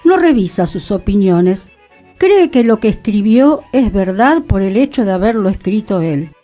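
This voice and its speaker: A woman with a Rioplatense Spanish accent reads a literary essay in a radio studio. Her voice is mellow and soft-edged, and her pitch rises and falls widely as she leans into each rhetorical question. Music plays faintly under her. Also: normal speed. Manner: expressive, rhetorical reading. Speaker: radio host. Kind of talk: reading a literary essay aloud.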